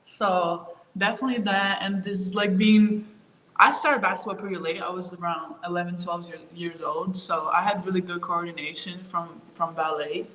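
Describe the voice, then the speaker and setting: A young woman from Ukraine answering interview questions about her move and her sport, talking in a relaxed way with lots of fillers. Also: on the low side, moderate pace, a little hiss or hum. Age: young adult. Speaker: college basketball player, interview guest. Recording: roomy with faint ambience.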